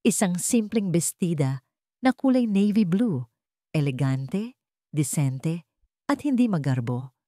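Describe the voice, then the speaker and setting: A Filipino woman narrates a dramatic story in a fairly low voice. Her pitch moves in lively swings as she delivers the script in measured phrases with pauses. The sound is dry and close, with little room in it. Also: no echo.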